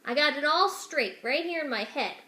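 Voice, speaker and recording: A woman's voice: sits high, expressive, normal speed, sharp tone, voice pushed up. A woman voicing a simple-minded, eager young servant girl in a storybook, blunt and uneducated in her way of talking. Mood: eager, boastful. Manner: uneducated rustic grammar, blunt. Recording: roomy indoor echo.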